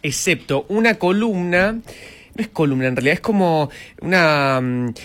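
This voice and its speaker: A man with a Rioplatense Spanish accent chatting casually on a panel, animated and teasing. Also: a little high, unhurried. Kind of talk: casual group chat.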